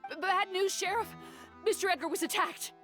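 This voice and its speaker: A woman's voice: very high-pitched, a little fast, a bit bright, faint music behind. An actress playing a flustered, earnest young woman in an anime game, speaking with urgency. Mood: alarmed.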